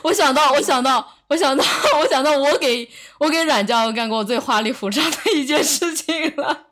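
A woman's voice: sits high, normal speed, fairly bright tone. A woman hosting a podcast in casual chat, playful and bantering. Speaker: podcast host.

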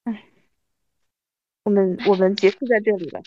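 A woman's voice: medium pitch, fairly even intonation, normal speed, a little mellow, no room sound, highs cut off. A woman in her thirties hosting a casual chat with an old friend, with a wry, self-mocking manner. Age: 30s. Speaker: podcast host.

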